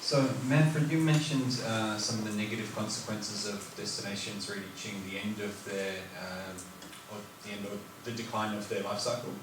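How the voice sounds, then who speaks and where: A low-voiced man puts a question to the panel with a few fillers. He sounds far from the mic in a very echoey hall. Noise is clearly heard behind him. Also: steady tone, moderate pace. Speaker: audience member asking a question.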